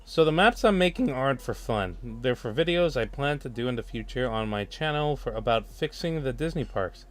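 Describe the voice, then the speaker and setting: A man's voice: mid-range voice, expressive. A man hosting a work stream, chatting casually and hesitantly. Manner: hesitant, unhurried. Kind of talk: casual, halting livestream chat. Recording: faint music behind voice. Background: faint music.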